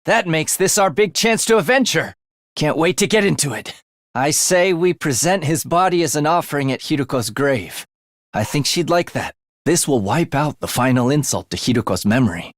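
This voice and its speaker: A man's voice: on the high side, expressive, normal speed, no room sound, studio quality, lightly acted. A man voicing an eerie, bloodthirsty young male student in a video game, with a sinister, theatrical manner that savors morbid details. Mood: sly, determined. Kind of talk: video game character voice acting.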